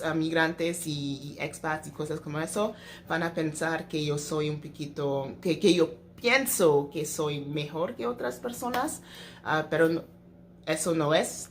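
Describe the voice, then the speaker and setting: A woman's voice: lowish voice, slight background noise. A young woman vlogger talking casually to camera, hesitant, with many fillers and restarts, speaking as a native English speaker who learned the language later. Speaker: travel vlogger.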